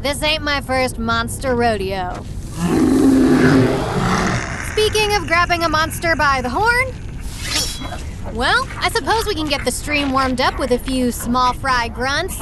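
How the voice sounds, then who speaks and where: A cocky young monster-hunter heroine from an anime-style audio drama. Her voice is high and swings freely in pitch, full of bossy, breezy swagger. Clear background noise sits behind her. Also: sing-song, slow pace, long pauses, heavily acted. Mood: cocky, firm. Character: cocky young female monster-hunting streamer, brash and bossy.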